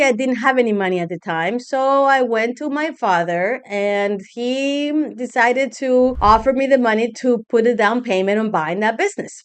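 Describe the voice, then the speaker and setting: Smooth-voiced woman telling her story. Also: fairly high pitch. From Guatemala. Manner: candid, conversational, self-deprecating asides. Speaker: business coach. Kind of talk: personal storytelling to camera.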